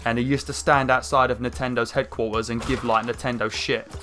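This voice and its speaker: A young man with a croaky throat, rambling through casual gameplay commentary with lots of fillers. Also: mid-range voice, brisk. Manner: rambling, chatty, many fillers, excited outbursts. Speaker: gaming channel host. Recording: faint game music behind. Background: game music.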